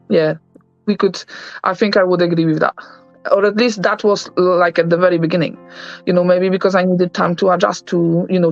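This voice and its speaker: A woman speaks in a gravelly, unhurried way, pausing often and reaching for her words. Her voice comes through a dull, muffled film soundtrack, with soft music underneath. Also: on the low side. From Poland. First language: Polish. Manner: slow, thoughtful, searching for words with many fillers. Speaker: documentary interviewee. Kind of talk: reflective documentary interview.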